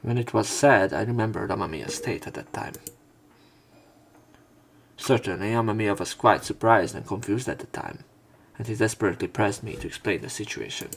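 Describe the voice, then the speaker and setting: A man with a low voice narrates a visual novel playthrough. He reads the text aloud and adds casual, slangy commentary of his own. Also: normal speed, vocal fry, a little hiss or hum, low-quality recording.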